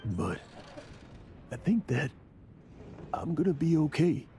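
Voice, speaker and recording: An actor playing an earnest, emotionally fragile, humble man in a video game, speaking haltingly. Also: fairly high pitch, sing-song, gravelly, dark tone, slight background noise, heavily acted. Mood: overwhelmed, grateful. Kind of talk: video game cutscene acting.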